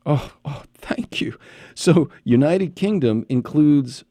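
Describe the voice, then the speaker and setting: A man's voice: fairly high pitch, lively intonation, slow pace, warm tone, no echo. A man hosting an interview podcast on a headset mic, chatty and rambling with many follow-up questions. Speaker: podcast host. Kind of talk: casual interview chat.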